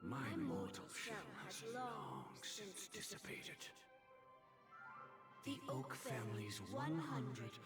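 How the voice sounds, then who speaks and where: A menacing, ancient master of dreams makes slow, ominous pronouncements with dramatic swoops in pitch. The theatrical game delivery is grand and formal, soaked in echo, with loud music behind it. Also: female voice, huge pitch swings, far from the mic, exaggerated voice. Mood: solemn, grand. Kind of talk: theatrical video game voice acting. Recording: echoing, processed game audio under loud music.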